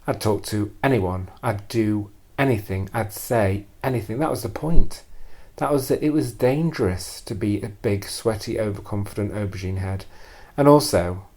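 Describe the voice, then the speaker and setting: Low-voiced man in a deadpan confessional monologue. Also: normal speed, hardly any pauses. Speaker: storyteller performing a personal monologue. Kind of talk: confessional first-person audio monologue.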